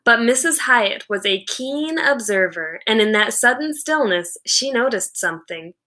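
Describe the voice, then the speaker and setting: A woman with a bright, forward voice reads a children's story aloud like a teacher. Her delivery is slow and deliberate, with expressive, dramatic storytelling. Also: on the high side. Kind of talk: expressive read-aloud narration.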